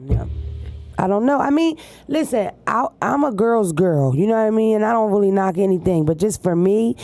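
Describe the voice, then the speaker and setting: A woman's voice: medium pitch, lively intonation, normal speed, dark tone, no echo. A woman, chatting casually as a podcast guest in a blunt, profane, joking way. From Philadelphia, United States. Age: 30s. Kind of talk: casual chat.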